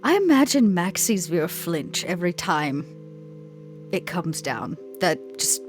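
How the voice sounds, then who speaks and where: A woman with a lowish voice plays a tabletop role-playing game. She is dry and sardonic, moving between character talk and describing her actions. Soft music sits behind her. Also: very animated, a little slow. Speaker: player in a role-playing podcast.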